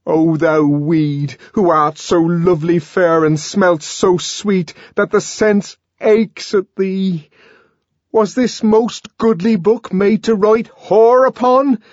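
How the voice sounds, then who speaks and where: A man voicing a savage, raging young man in an audiobook, declaiming archaic words theatrically and forcefully. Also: sits high, steady tone, takes it slowly, no echo, highs cut off, pitched above the performer's own voice. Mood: maddened declaiming.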